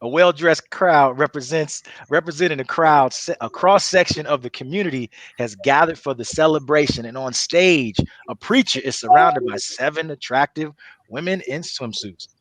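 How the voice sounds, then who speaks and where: A man's voice: fairly high pitch, moderate pace, a bit bright, no echo, low-quality recording. Man narrating a script, dry close sound. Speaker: narrator reading stage directions. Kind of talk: script table read narration.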